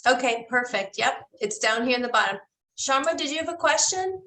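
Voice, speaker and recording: A woman's voice: high voice, expressive, a little fast, pushed and bright. A woman hosting a webinar who moderates the question-and-answer part in a friendly, encouraging way. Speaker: webinar host.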